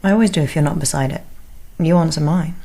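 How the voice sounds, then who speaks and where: Guarded, coolly evasive wife. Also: female voice, fast talker, gravelly, mellow. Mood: defensive, cool. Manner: terse, low-key, evasive. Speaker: actress in an audio drama. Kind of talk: naturalistic radio thriller acting.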